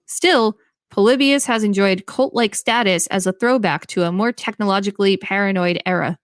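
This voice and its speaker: A woman co-hosting a podcast, reading an encyclopedia article aloud in a clear, measured way with brief reactions. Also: mid-range voice, no echo.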